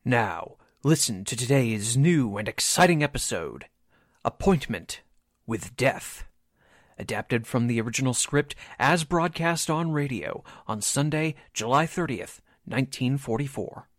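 A man announcing a radio drama in scripted 1940s style, with deliberate, dramatic phrasing. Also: mid-range voice, expressive, no room sound, studio quality.

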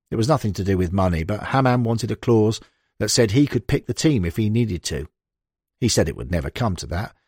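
A man plays a frank male football manager looking back in an audiobook interview. He is blunt and conversational, his pitch leaping about for emphasis, recorded dry and close. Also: darkish tone, husky, no room sound, full character voice. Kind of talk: acted interview quotations in an audiobook.

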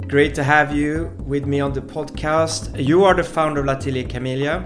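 A man hosting a podcast interview, talking in a halting way with many fillers as he introduces his guest. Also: a little high, fairly even intonation, faint music behind. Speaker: podcast host.

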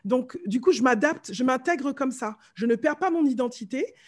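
Lively woman with a light Martinican accent. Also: high voice, normal speed. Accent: light Martinican French. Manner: animated storyteller, mimics voices and sounds. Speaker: vocal coach guest. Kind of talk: casual interview chat.

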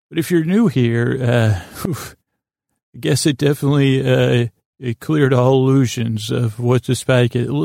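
A man with a creaky voice hosting a bedtime podcast, rambling in a meandering, halting and repetitive way to help listeners fall asleep. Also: medium pitch, a little mellow, dry recording, studio quality. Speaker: podcast host.

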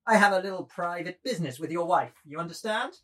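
Woman acting a cocky lewd dandy, husky tone, animated pitch, brash delivery. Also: fast. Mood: swaggering. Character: swaggering, lewd male dandy. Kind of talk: dramatised novel table reading.

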